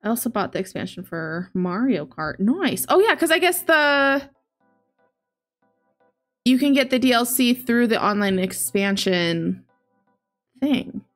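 A woman's voice: a little high, very animated, measured pace, long pauses, soft background music. A woman in her late twenties chatting casually as a livestream host, talking to viewers and reading chat aloud into a close desk microphone. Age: late 20s. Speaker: video game streamer, host. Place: home living area, indoors. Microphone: large desk microphone up close, headset on.

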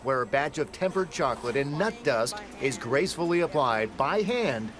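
A man with an American accent narrating a scripted TV news feature in a polished, measured broadcast delivery. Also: mid-range voice, animated. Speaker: TV news reporter.

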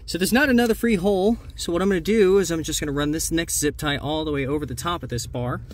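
A man's voice: fairly high pitch, quick pace. A man hosting a do-it-yourself video, explaining an install step by step in a plainspoken, easygoing way. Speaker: host of a DIY vehicle channel. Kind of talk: how-to narration of an install. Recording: noisy, vehicle ambience behind.

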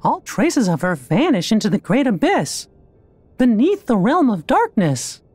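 A small, earnest cartoon mouse king for a game. The high falsetto bounces widely in pitch, with slow, careful pacing. The sound is dry and close. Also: very animated, darkish tone, breathy edge, no room sound, soft background music, heavily acted. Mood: earnest, concerned. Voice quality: squeaky falsetto. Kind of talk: animated video game acting.

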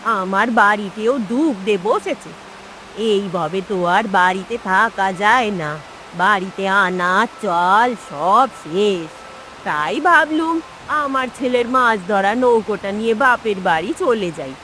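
A woman's voice: medium pitch, expressive, sharp tone, slight background noise, poor audio. A woman voicing a weary, worried elderly village aunt in a cartoon. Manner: slow, tired and pleading. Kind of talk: cartoon voice acting.

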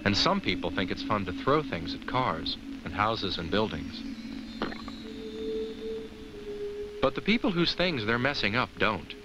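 Friendly low-voiced talking pumpkin. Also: male voice, big pitch swings, normal speed, over loud music, poor audio. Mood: earnest. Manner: earnest, reassuring, simply explaining to children. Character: friendly talking Halloween jack-o'-lantern, kindly and earnest. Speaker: narrator. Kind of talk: scripted children's educational film narration.

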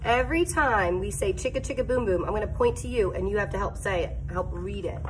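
A middle-aged woman teacher reading a picture book aloud to young children, expressive and sing-song, inviting them to join in. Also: mid-range voice, brisk, noisy background. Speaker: elementary teacher. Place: school classroom, indoors.

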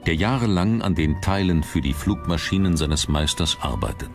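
A man narrating a documentary from a script in a measured, dramatic storytelling manner. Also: sits low, lively intonation. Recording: music under the narration. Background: background music.

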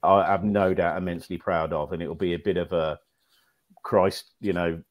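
Deep-voiced man rambling on a video call. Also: steady tone, moderate pace, muffled recording. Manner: rambling, repeats words, many "you know"s. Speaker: podcast panelist. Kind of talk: casual fan podcast chat. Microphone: video call with earphones.

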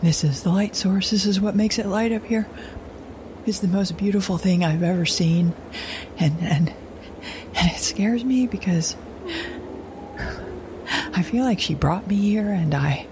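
An actress playing an anxious survivor alone in the wilderness in an audio drama monologue, halting and repeating herself, often dropping toward a whisper. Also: female voice, mid-range voice, background noise, natural voice. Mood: awed, uneasy. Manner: halting, repetitive, drifting into breathy whispers.

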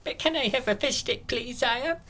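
Bright, high-pitched eager elf schoolgirl voiced by a woman. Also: normal speed. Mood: delighted. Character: eager young female elf schoolgirl, food-loving and pouty. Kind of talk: comic dub character acting.

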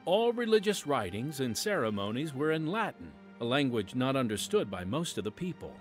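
Animated American male radio host. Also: medium pitch, normal speed, soft background music. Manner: polished, theatrical, addresses listener as friend. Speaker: podcast host and announcer. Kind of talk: scripted radio narration.

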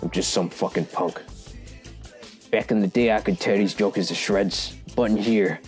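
A man's voice: on the low side, moderate pace, faint music behind, close to an everyday voice. A man voicing a bitter, cynical young punk villain in a dramatic cartoon monologue. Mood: bitter, resentful. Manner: brooding, cynical.

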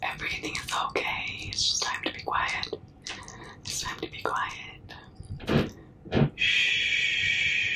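A young woman whispering very close to the mic in a breathy, soothing, repetitive ASMR comforting roleplay, with soft shushing. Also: low-quality recording. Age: young adult. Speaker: ASMR performer. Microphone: very close to the mouth.

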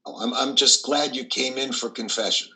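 An older man reads the part of a reclusive, kindly priest in a play. His voice is medium-pitched and strikingly bright. He speaks with gentle, hesitant encouragement. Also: fairly even intonation, normal speed, rough recording. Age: older, 60s or over. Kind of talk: play reading over video call.

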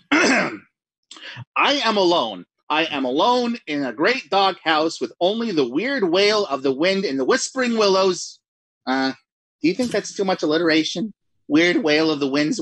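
A man's voice: sits high, a bit bright, slightly rough, no echo, full character voice. A man playing a jumpy writer alone at night, rambling and mocking himself in 1940s radio acting style. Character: jittery lone male writer talking to himself.

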